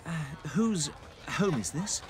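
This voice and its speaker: A haunted miserly businessman in an audio drama. The delivery is slow and stammering, pleading and unsure, with a very noisy rumbling background. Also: sing-song. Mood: humbled, unsure. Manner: stammering, halting. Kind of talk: modern audio drama acting.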